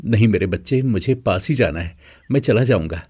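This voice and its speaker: A man plays a fatherly middle-aged man in a cartoon moral tale. His voice is husky and dark-toned, and he speaks with earnest, proud conviction. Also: fairly low pitch, expressive, normal speed. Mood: grateful, fatherly. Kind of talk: animated moral story dialogue.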